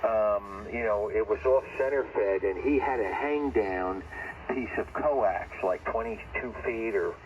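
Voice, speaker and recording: A man with a fairly low voice comes through a dull, slightly noisy radio link. He talks as a ham operator in a casual, rambling way, often restating what he has just said. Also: a little slow. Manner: rambling, conversational, repeats himself. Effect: shortwave radio.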